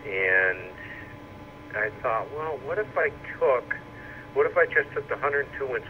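A man chatting casually over two-way radio as an amateur operator, rambling and thinking out loud. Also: medium pitch, animated, measured pace, narrow, tinny audio. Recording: radio speaker re-recorded with loud noise.

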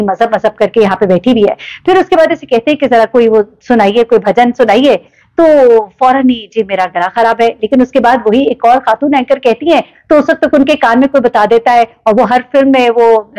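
A woman, commenting to camera in a vlog with animated, ironic storytelling. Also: on the high side, lo-fi sound. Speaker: vlogger. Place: living room indoors.

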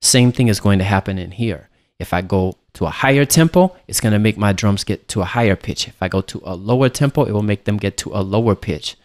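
A man narrating a software tutorial as an instructor, explaining things in a casual way. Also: fairly low pitch, fairly quick, hardly any pauses. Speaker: music production instructor.

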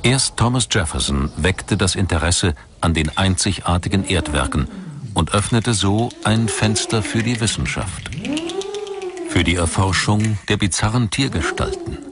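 A man reading scripted documentary narration in a measured, dramatic storytelling manner. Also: low-pitched, noisy background. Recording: music under the voice. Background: background music.

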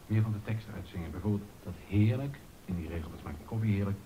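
An actor playing an exacting yet amorous baroque music master in a docudrama, giving short, deliberate instructions with pauses. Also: male voice, fairly low pitch, expressive, mellow, a little hiss or hum, natural voice. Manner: instructive, deliberate, pausing between short phrases. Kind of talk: Dutch radio docudrama acting. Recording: dull, roomy sound with music behind.

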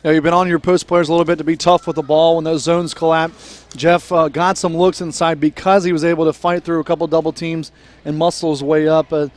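A man in his thirties with a fairly high voice hosts a postgame interview. He frames long, conversational questions into a headset mic in a small studio booth. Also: flat delivery, fairly quick. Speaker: sports broadcaster.

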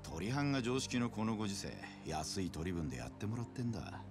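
A man's voice: fairly low pitch, sing-song, fairly quick, music in the background. An actor playing a middle-aged loan shark in a video game, casual and patronizing as he talks. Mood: smug, easygoing. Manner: casual, condescendingly generous. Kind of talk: game cutscene voice acting.

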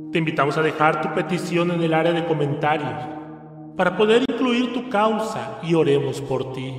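A man leading a slow recited prayer, declaiming affirmations in a solemn, measured way. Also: on the high side. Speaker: prayer leader. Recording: reverberant hall with soft music.

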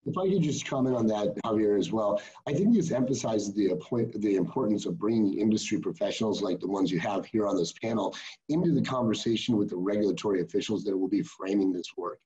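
Dark-voiced man making a formal policy point. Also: lowish voice, fast, highs cut off. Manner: earnest, formal policy talk. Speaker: panelist. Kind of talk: panel discussion over video call.

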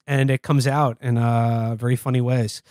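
A man's voice: medium pitch, little pitch movement, moderate pace, a little mellow, dry recording, clean studio sound. A man co-hosting a podcast, talking politics casually in a wry, discursive way. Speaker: podcast co-host. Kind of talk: casual comedic political chat.

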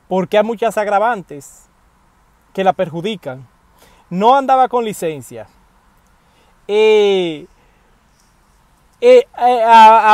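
Man, 40s, high voice, animated casual commentary, appeals to viewers, parked car, earphone mic, dry close sound. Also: no echo. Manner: animated, addresses viewers directly, emphatic pleas. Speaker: news commentator. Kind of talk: casual news commentary to camera. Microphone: wired earphone mic.

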